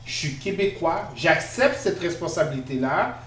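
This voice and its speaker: A man's voice: on the high side, lively intonation, moderate pace, fairly bright tone, far from the mic. A man from Quebec with a Quebec French accent, co-hosting a podcast in casual discussion, earnest and emphatic, repeating words as he searches for them. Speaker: podcast co-host. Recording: echoey room, off-mic, faint noise.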